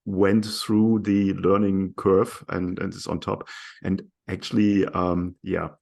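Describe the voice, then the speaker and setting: Deep gravelly podcast host. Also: male voice, flat delivery, darkish tone. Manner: measured, hesitant with fillers. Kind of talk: podcast interview.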